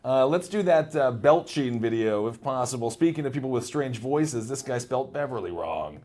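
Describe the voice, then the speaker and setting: A man's voice: mid-range voice, animated, normal speed, darkish tone. A man co-hosting a comedy stream, laid-back and laughing often. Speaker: stream co-host. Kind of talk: casual comedy stream chat.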